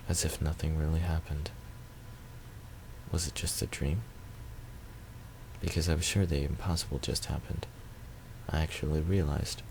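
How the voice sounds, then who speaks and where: Very deep-voiced man reading, gravelly. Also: darkish tone, a little hiss or hum, poor audio. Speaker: let's player reading a visual novel. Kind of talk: reading narration aloud.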